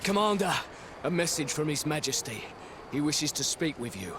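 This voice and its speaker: Royal army messenger, formal and earnest, higher-pitched, game drama with noise behind. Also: male voice, big pitch swings, moderate pace. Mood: dutiful. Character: earnest soldier messenger reporting to his commander. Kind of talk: video game acting.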